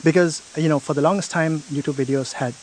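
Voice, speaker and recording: A man's voice: on the high side, steady tone, fast talker, slight background noise. A man in an interview explaining a product feature step by step, often checking in with "right?".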